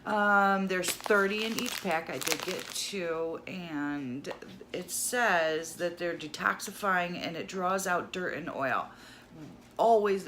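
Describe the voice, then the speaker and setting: A woman talking through a shopping haul in a casual, gushing way, losing her voice to a cold. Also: on the low side. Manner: chatty, gushing over cheap finds. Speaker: haul vlogger. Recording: audible noise in the background.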